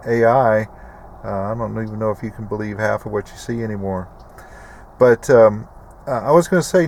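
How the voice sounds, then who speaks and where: American man, middle-aged, creaky voice, folksy, rambling, casual explainer, some vehicle noise in the background. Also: fairly low pitch, a little mellow. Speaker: prepper video host. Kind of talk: casual explanatory product walkthrough.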